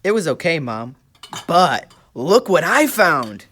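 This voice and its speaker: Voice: medium pitch, big pitch swings, takes it slowly, a bit bright, heavily acted. A performer playing an eager, good-hearted schoolboy in a puppet show, speaking in an earnest, boyish way. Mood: excited. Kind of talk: church puppet show dialogue.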